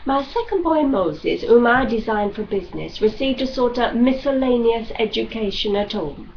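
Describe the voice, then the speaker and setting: A woman reading a classic novel as scripted narration, with measured, deliberate phrasing, like an audiobook reader. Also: on the high side, expressive, gravelly. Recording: dull lo-fi audio with background noise.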